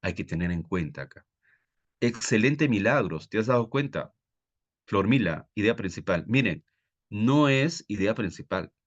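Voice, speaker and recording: Man, low voice, Peruvian Spanish accent, online tutor, didactic, frequent checking questions. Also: lively intonation, unhurried, lo-fi sound. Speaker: teacher, exam-prep tutor. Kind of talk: live online reading-comprehension lesson.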